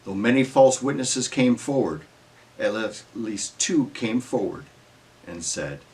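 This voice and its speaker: A man narrating a dramatic scripture reading in an expressive, measured storytelling manner. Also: on the low side, recorded from a distance.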